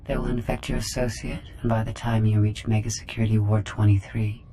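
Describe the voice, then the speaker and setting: A man voicing a cold, contemptuous, menacing artificial intelligence in a game, speaking in a measured, haughty, formal way. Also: on the low side, flat delivery, mellow, a little hiss or hum, close to an everyday voice. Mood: cold menace. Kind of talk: game cutscene voice acting.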